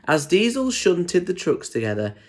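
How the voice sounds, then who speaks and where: Guy reading a kids storybook out loud, expressive, stops to chat and ask the little ones stuff. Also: high voice, normal speed. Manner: explains pictures to young listeners with questions. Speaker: storyteller reading aloud to children. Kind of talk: expressive picture book read-aloud with chatty asides.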